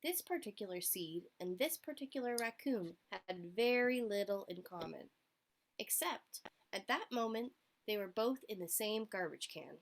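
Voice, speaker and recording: Animated woman reading to children. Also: mid-range voice, moderate pace. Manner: expressive, addresses child listeners with questions. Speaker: storyteller for young children. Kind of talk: interactive picture book read-aloud.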